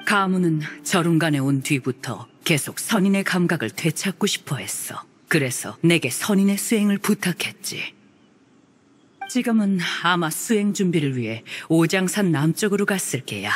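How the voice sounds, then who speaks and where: An actor playing a kindly elderly immortal in a video game, with old-fashioned, poetic and measured speech. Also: male voice, fairly high pitch, expressive, faint music behind, close to an everyday voice. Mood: kindly, concerned. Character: elderly adeptus, courtly, kindly, theatrical storyteller.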